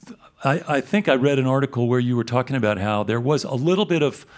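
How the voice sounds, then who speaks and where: A man's voice: medium pitch, fast talker. A man hosting a radio interview on stage, asking friendly, rambling questions. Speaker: radio show host. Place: stage in a concert hall.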